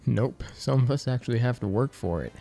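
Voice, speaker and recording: An exasperated young student acted out by a man in an audiobook story. He sounds defensive and sarcastic, with the pitch raised in protest. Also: brisk, creaky, mellow, soft background music.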